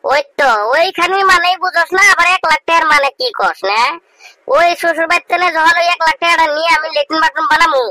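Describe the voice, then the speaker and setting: A man voicing a young village man who teases his friend in crude, rough banter, speaking. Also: very high-pitched, fast talker, exaggerated voice. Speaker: voice actor. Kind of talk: comedic cartoon dialogue. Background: loud music.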